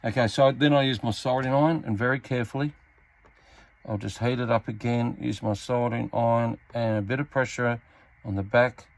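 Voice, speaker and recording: An Australian man giving a casual hands-on repair tutorial, talking in a halting, unscripted, step-by-step way as he works. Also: mid-range voice, fairly even intonation. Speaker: hobbyist demonstrating a radio repair. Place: indoors at a repair workbench. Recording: clearly noisy amateur workbench recording.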